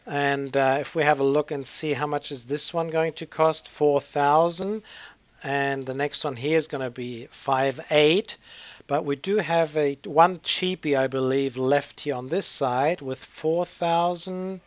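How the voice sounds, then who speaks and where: A man's voice: on the high side, measured pace, no echo. A man giving casual gameplay commentary as the host of a gaming channel, hesitant and rambling as he thinks aloud.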